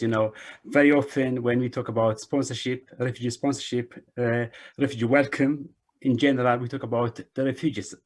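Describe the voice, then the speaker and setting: A middle-aged man speaks as an invited presenter on a video call from a room indoors. His voice sits at a medium pitch, and he often repeats words and phrases to stress a point. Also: darkish tone. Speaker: invited speaker, refugee organization director.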